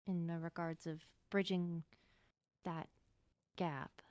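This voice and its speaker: A woman's voice: lowish voice, flat delivery, takes it slowly, darkish tone, no room sound. A woman improvising casual in-character conversation as a player in a game roleplay.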